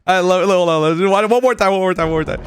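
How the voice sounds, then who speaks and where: A man hosting a reaction video, talking casually to viewers with excited, repeated exclamations. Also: sits high, rapid-fire, slight background noise. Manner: casual, repetitive exclamations, addresses viewers familiarly. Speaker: video host reacting to game clips.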